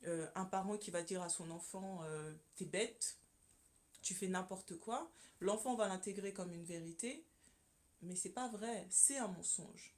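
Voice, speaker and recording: A woman's voice: lowish voice, unhurried. A woman from Congo, a communication consultant, speaking as a guest on a radio interview, earnest and full of hesitations and repeated words. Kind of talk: radio interview, personal testimony. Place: radio studio.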